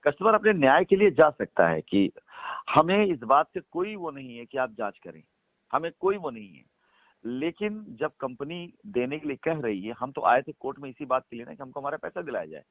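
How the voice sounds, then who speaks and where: A man's voice: mid-range voice, very animated, moderate pace, no echo. A man on a phone call, speaking for a company, making his points insistently and repeating them for emphasis. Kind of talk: persuasive phone conversation. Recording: tinny phone line.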